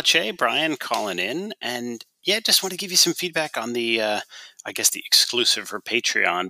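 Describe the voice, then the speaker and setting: A man's voice: lowish voice, bright, forceful tone, no room sound, low-quality recording. A man leaving a casual voice message as a podcast caller.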